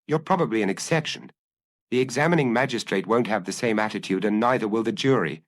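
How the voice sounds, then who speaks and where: A man voicing a patient, humane police detective who questions calmly and courteously in a dramatic audiobook reading. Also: lowish voice, fast, dry recording, natural voice. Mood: measured.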